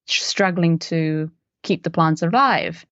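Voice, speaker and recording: Low-voiced woman, muffled audio. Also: slow pace, a bit bright, no room sound. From Japan. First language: Japanese. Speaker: interview guest, miniature artist. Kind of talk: casual interview chat.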